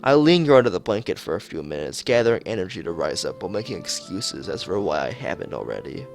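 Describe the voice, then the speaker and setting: A man streaming a visual novel, reading its script aloud haltingly, stumbling and repeating phrases, with casual asides. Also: sits low, big pitch swings, gravelly, soft background music.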